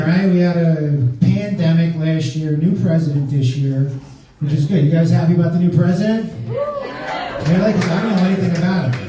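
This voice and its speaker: A man's voice: a little high, far from the mic. A man from Staten Island doing a profane stand-up set on a club stage, riffing and quizzing the crowd. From Staten Island, New York. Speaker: stand-up comedian. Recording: echoey club, picked up from afar. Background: laughing audience.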